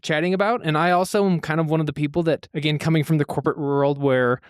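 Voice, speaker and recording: A man's voice: on the high side, fairly even intonation, fast, clean tone, no echo, clean studio sound. A man co-hosting a podcast, talking in an easygoing, agreeable way with lots of hedging. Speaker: podcast co-host. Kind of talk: casual podcast chat.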